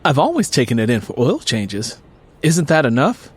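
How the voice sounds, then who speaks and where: A man's voice: medium pitch, huge pitch swings, moderate pace, breathy edge. A man voicing a guarded, exasperated woman in an audiobook story, blunt and reluctant in manner. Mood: defensive. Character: exasperated, guarded young woman with broken-down car.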